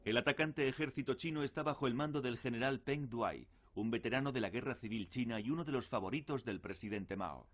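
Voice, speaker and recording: A man's voice: medium pitch, brisk. A man reading scripted narration for a documentary. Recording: clearly noisy documentary soundtrack. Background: clear background noise.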